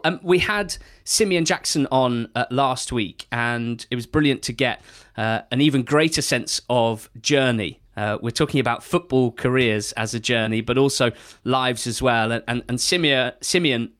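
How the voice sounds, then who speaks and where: Chatty co-host, quick to laugh. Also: male voice, mid-range voice. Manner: animated, chatty, laughs often. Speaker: podcast co-host. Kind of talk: casual chat.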